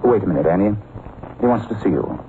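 An actor playing a suave, shrewd detective with a wry, unhurried, pointed delivery in an old-time radio drama. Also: male voice, sits low, big pitch swings, dark tone. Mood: gentle, reassuring. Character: suave, shrewd male amateur detective, calmly confronting. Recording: muffled, hissy vintage radio recording.

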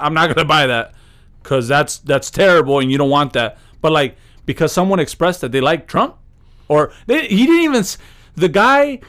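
A man co-hosting a podcast in casual chat, emphatic and profane, often agreeing with his partner. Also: fairly high pitch. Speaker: podcast co-host.

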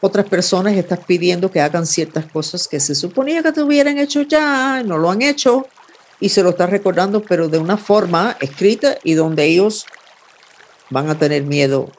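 A man hosting a weekly horoscope reading, giving calm, intimate advice with vivid imagery, in Spanish that is his second language. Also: sits high, normal speed. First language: not Spanish. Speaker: astrologer host.

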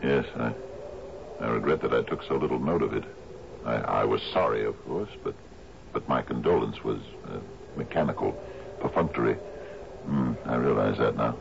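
An actor playing a troubled, grieving sea captain in a 1970s radio drama, speaking formally and haltingly. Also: male voice, very deep voice, heavily acted. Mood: regretful. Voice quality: gravelly. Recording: muffled, noisy old radio broadcast.

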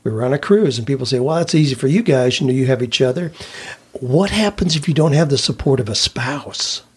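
Husky older man hosting a podcast. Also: medium pitch, expressive, fairly quick, darkish tone. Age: older, past sixty-four. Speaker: podcast host, career coach. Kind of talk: casual conversational storytelling.

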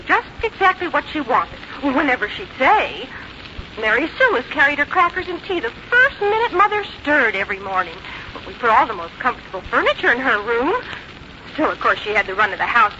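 A worried, defensive daughter in a vintage radio play. Her voice is high and sharp, swinging widely as she complains and insists. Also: very animated, fairly quick, heavily acted. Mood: fretful, exasperated. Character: fretful, defensive grown daughter of an aging mother. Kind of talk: 1950s radio drama acting. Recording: dull, hissy old radio recording.

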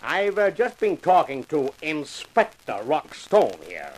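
An actor playing a gruff, mocking homicide inspector in 1950s radio drama style. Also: male voice, on the high side, huge pitch swings, takes it slowly, exaggerated voice, low-quality recording. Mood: loud, taking charge. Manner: mocking, heavily sarcastic, needling. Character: gruff sarcastic homicide police inspector, taunting.

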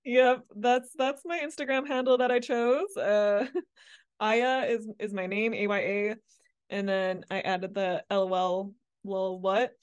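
A young woman talks in a light, playful, rambling way, full of little fillers. Her pitch sits in the middle, and the sound is close and dry, with no room around it. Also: fairly even intonation, measured pace, clear, resonant tone, no echo. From Netherlands, raised in Mississippi. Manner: light, playful, chatty with frequent fillers and laughs. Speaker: interview guest, motorcycle rider. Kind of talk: casual interview chat.

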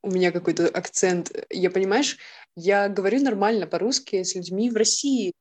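This is a woman from Irkutsk talking as a podcast guest, outspoken and openly indignant, with plenty of hesitant pauses as she thinks. Her voice sits at a middling pitch for a woman. It is recorded dry and close, with no room sound. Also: darkish tone, no room sound. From Russia, Irkutsk. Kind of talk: casual podcast conversation.

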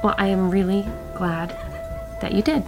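A shy, modest woman, played by an actress, who speaks haltingly and awkwardly. Her delivery is naturalistic and conversational, that of a flustered date in a podcast drama. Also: mid-range voice, steady tone, music in the background, close to an everyday voice. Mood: nervous, glad. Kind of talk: naturalistic audio drama acting.

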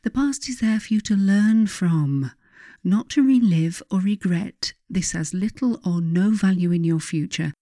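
A woman reading her own self-help writing aloud as scripted narration, in a measured, emphatic, instructive manner. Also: mid-range voice, warm tone, no room sound, studio quality.

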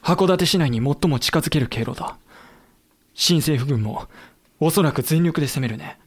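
An actor playing a thoughtful samurai official who narrates a war in measured, explanatory audio drama style. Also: male voice, on the high side, lively intonation, breathy edge. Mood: concerned. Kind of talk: scripted dramatic narration and acting.

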